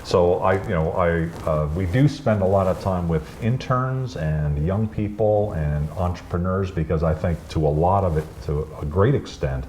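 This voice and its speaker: A man's voice: low voice, moderate pace, few pauses, a little mellow, a little hiss or hum. A man being interviewed about his organization, speaking in a casual, rambling way and often restarting his sentences. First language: English. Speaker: guest, chamber founder.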